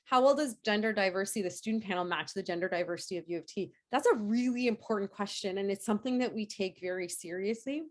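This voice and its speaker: A woman professor answering audience questions after a lecture, hoarse from a cold, with lots of fillers and restarts. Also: mid-range voice, fast, hardly any pauses. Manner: hesitant, many fillers and restarts. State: hoarse, getting over a cold. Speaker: nursing professor giving a lecture.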